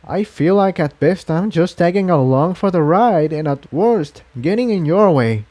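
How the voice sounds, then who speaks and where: A man's voice: high-pitched, lively intonation, moderate pace, a little mellow, pitched above the performer's own voice. A man voicing an anxious, self-doubting female pony in a storybook reading, speaking earnestly and fretfully. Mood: anxious, apologetic.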